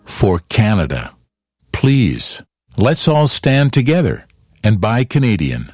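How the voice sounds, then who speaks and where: Earnest slow announcement voice. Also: male voice, lowish voice, wildly swooping pitch, over music. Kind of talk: public service announcement.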